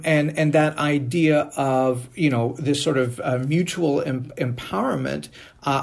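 A man giving a thoughtful, measured panel answer, with hesitant and earnest phrasing. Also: on the high side, creaky. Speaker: university researcher and panelist.

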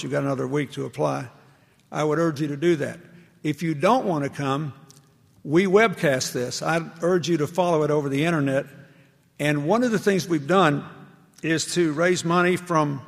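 A man with a gravelly, slightly raised voice lectures to a student audience. He talks earnestly and conversationally, moving easily into anecdotes. The sound carries a long echo from a large hall. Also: on the high side. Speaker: public speaker addressing university students.

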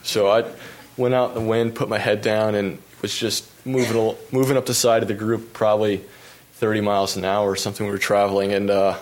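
A young man from the United States recounts his cycling races in an easygoing talk, his voice sitting a little low. He fills the pauses with ums and uhs and chuckles at his own misfortunes. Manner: relaxed, lots of fillers, laughs at himself. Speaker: pro cyclist giving a talk. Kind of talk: storytelling presentation with slides.

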